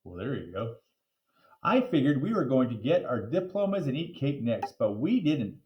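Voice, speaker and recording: A man reads a children's storybook aloud with big, lively swings in pitch. His delivery is playful and theatrical, stretching words for emphasis. The sound is roomy and echoing. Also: moderate pace, highs cut off. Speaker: teacher reading aloud to a class.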